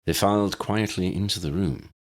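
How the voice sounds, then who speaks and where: A man's voice: low voice, moderate pace. A man reading a story aloud as a scripted audiobook narration.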